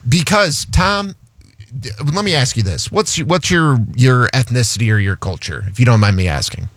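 A man's voice: mid-range voice, animated, moderate pace, a bit bright, no echo, studio quality. A man with an American accent chatting casually on a radio show, explaining himself and hedging as he goes. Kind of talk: casual chat.

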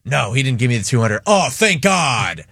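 A man hosting a radio show, telling a story in casual, animated banter with co-hosts and callers. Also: mid-range voice, vocal fry, no room sound, clean studio sound. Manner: animated storyteller, quick asides.

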